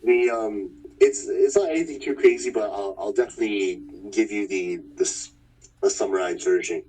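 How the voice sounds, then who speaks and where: A man in his thirties from Manitoba, a fighter talking about his past in a relaxed, rambling way. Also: fairly low pitch, normal speed, distant mic, rough recording. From Winnipeg, Manitoba, Canada. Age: 30s. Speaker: fighter, interview guest. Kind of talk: casual interview chat.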